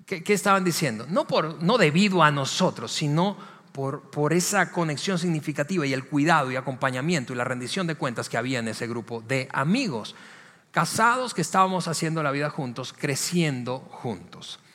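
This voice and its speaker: A man's voice: fairly high pitch, animated, normal speed, a bit bright. A man with a Venezuelan Spanish accent gives a conversational sermon as a pastor, speaking emphatically and repeating key phrases. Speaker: church pastor. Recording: long hall reverb.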